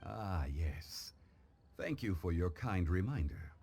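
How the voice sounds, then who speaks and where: A calm, formal gentleman for a game cutscene, with a very low voice that still moves expressively. He is polite and scholarly, with clear ambient noise behind him. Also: slow pace, heavily acted. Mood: courteous, composed. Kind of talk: video game cutscene acting.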